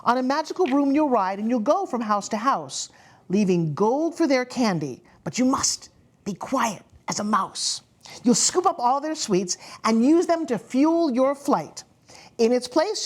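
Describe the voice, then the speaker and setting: Kindly magical benefactor, voiced by a woman, very melodic. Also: fairly high pitch. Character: kindly magical letter writer granting second chances.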